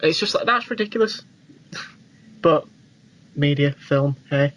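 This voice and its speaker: A man's voice: a little high, lively intonation, slow pace, fairly bright tone, rough recording. A man, co-hosting a podcast in casual, slangy film chat. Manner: informal, uses slang. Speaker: podcast co-host.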